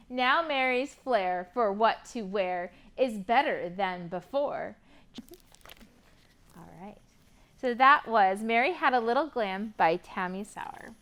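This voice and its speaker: A woman's voice: fairly high pitch, animated, slow pace, fairly bright tone. A woman hosting a library storytime for young children, reading expressively and prompting them with encouraging questions. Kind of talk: picture-book reading and sing-along for young children.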